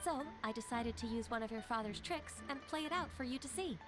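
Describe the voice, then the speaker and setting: A woman voicing a calm, courteous young secretary in a video game, measured and gently firm. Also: a little high, over music, lightly acted. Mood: explaining.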